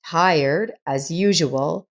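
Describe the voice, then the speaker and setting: A woman reading a scripted story in a measured storytelling manner. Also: low voice. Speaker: story narrator. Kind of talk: scripted audiobook-style narration.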